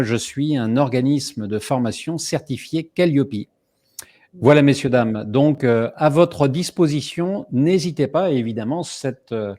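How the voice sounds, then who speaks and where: A man's voice: a little high, darkish tone. A middle-aged man hosting a live online summit over a video call, telling stories in a chatty way full of hesitations. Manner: chatty, many fillers, storytelling. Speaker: host.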